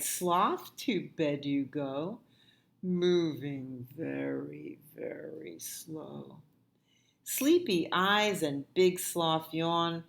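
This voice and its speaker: A woman hosting a storytime for young children, reading aloud and reciting rhymes in a gentle sing-song way, often dropping to a hush. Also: deep voice, gravelly. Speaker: children's librarian and storytime host.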